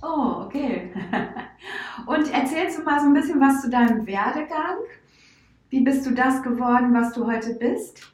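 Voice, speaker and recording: A woman's voice: sits high, animated, unhurried, darkish tone. Woman host in a roomy space. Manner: friendly, encouraging questions. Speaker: podcast host. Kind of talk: podcast interview.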